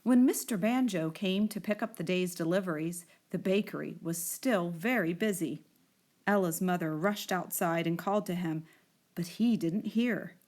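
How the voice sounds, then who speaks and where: A woman reading a picture book aloud to children in an expressive storytelling style. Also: on the low side, moderate pace.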